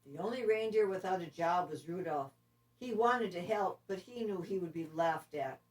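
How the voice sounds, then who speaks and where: A woman narrating a children's story expressively, with deliberate, dramatic phrasing. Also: fairly low pitch. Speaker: storybook reader. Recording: distant microphone.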